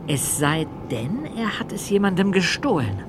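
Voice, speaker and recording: A man's voice: high-pitched, big pitch swings, normal speed, very noisy. A man playing a thoughtful, questioning young amateur detective in an audio drama. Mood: pondering. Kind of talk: German audio drama acting.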